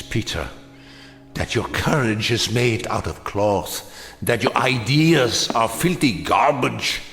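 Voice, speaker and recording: An actor playing a weary, anguished older German immigrant in a radio drama, speaking with bitter, emphatic rhetoric. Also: male voice, mid-range voice, a bit bright. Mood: bitter challenge. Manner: slow, emphatic, bitterly rhetorical with repeated phrases. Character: weary old German immigrant man, anguished, defiant, scornful of fascists. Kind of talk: theatrical radio drama acting.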